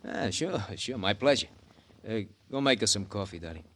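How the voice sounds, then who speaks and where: An actor playing a smug, falsely friendly small-time killer with a breezy, slangy, mocking manner in 1950s radio crime drama. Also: male voice, fairly low pitch, animated, normal speed, a bit bright.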